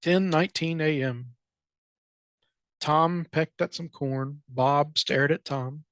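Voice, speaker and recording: Storytime reader doing a kids picture book, expressive, takes it real slow, dry close sound. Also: medium pitch, dry recording. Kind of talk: expressive children's picture book read-aloud.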